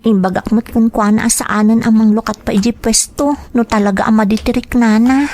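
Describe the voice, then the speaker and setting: A woman's voice: a little high, steady tone, brisk, a little mellow, natural voice. A woman playing a devoted, worried young student daughter in an Ilocano radio drama, earnest and concerned. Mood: pensive, troubled.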